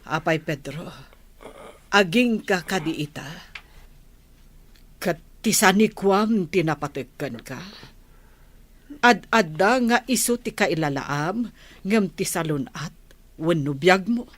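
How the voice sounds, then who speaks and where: A woman with an Ilocano accent playing a worn-out, pleading village wife in a radio drama, earnest and deliberate. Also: fairly low pitch, expressive, no echo. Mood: admonishing.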